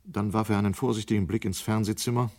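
A man with a very low-pitched voice narrates a radio play. He reads scripted literary prose as the story's narrator. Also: steady tone, fast talker, a little mellow.

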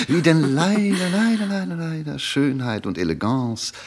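Gravelly, fawning male courtier, swooping melody. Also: a little high, slow, full character voice. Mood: gushing, flattering. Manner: affected flattery, hesitant and mannered. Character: fawning, vain male courtier baron with hidden spite. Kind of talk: German radio play acting.

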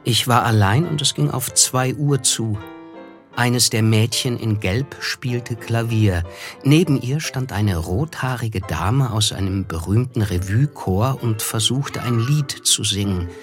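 An actor playing a reflective, reserved young man who narrates his past in measured literary German for a radio play. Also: medium pitch, faint music behind, close to an everyday voice.

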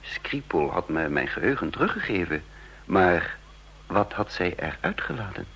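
A man's voice: deep voice, very animated, normal speed, vocal fry, a bit bright, breathy edge, heavily acted. Een man die in een hoorspel een onrustige geheim agent speelt, die aarzelend en piekerend zijn eigen raadsel vertelt, op een oude radio-opname. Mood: troubled, brooding. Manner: brooding, hesitant, self-questioning. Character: uneasy male secret agent narrating his own puzzle. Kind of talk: radio drama acting, first-person narration. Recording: dull lo-fi old radio recording.

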